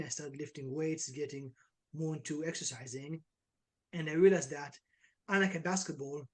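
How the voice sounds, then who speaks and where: Young israeli-born trainer guy, energetic, kinda high voice, casual chat. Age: 20s. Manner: energetic, uses many "like" and "right" tags. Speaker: online fitness coach.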